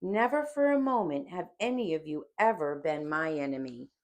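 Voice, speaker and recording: A woman's voice: low voice, normal speed, natural voice. A woman voicing a solemn, commanding man defiant on the cross, in a dramatic audiobook reading.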